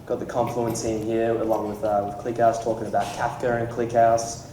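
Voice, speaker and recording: A man with a mellow voice opens a community meetup as host. He speaks informally and haltingly to the room. The mic sounds distant in an echoey space with faint noise. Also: mid-range voice, flat delivery. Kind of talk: informal welcome speech to a live room. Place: indoor meetup room with audience.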